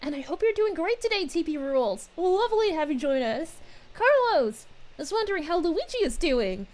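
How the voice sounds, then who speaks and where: A woman doing a bubbly, high cheerful princess. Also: very animated, a little fast, a little mellow. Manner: bubbly, sing-song, giggly. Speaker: streamer playing a character. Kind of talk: live stream greeting chat.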